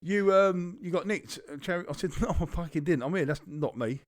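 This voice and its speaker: A man's voice: high-pitched, fairly quick, dry recording, clean studio sound. A man with a London accent, co-hosting a podcast and telling a long rambling anecdote with plenty of swearing. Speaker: podcast co-host. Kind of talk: casual storytelling chat.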